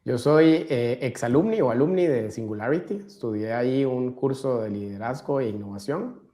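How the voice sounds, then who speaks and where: A man's voice: medium pitch, normal speed, warm tone. A man from Costa Rica with a Costa Rican Spanish accent, talking enthusiastically as a podcast guest. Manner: enthusiastic, uses tag "¿verdad?". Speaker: podcast guest, chapter leader. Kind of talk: casual conversation.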